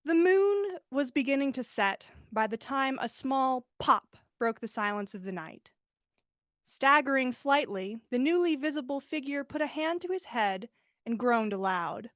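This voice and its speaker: High-voiced casual woman host. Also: expressive, measured pace, fairly bright tone, no room sound, studio quality. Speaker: podcast host and story reader. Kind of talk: casual livestream chat and fan fiction read-aloud.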